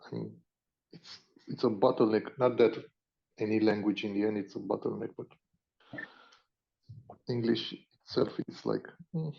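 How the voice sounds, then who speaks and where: A man with a Romanian accent talking casually as a podcast co-host, in a halting way, searching for his words. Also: on the low side, fairly even intonation, creaky, darkish tone. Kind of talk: casual unscripted conversation. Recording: dull lo-fi audio.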